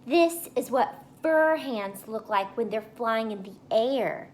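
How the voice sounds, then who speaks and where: A woman voicing an excitable, boastful little schoolgirl in a children's storybook, with childish grammar and gushing emphasis. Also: fairly high pitch, takes it slowly, a little hiss or hum. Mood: gleeful showing off. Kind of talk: first-person children's storybook read-aloud.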